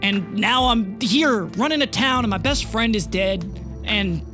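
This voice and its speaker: A game master voicing a weary, conflicted man who runs a town, speaking in a halting, confiding way. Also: medium pitch, lightly acted. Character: Summer, weary, conflicted young man running a frontier town. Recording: music bed under the voice.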